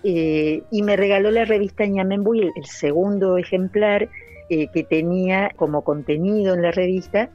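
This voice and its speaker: A woman's voice: medium pitch, normal speed, darkish tone, soft background music. A middle-aged woman, a novelist, talking earnestly in an interview with many hesitant fillers, over a remote call. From Santa Fe, Argentina. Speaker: novelist guest. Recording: dull, lo-fi remote audio.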